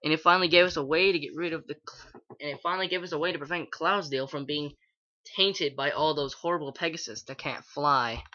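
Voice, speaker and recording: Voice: medium pitch, fast, pushed and bright, muffled recording, close to an everyday voice. A reader voicing a villainous adult mare pegasus who gloats and rages with contempt in a fan fiction reading. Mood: gloating. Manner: gloating, then screaming with contempt.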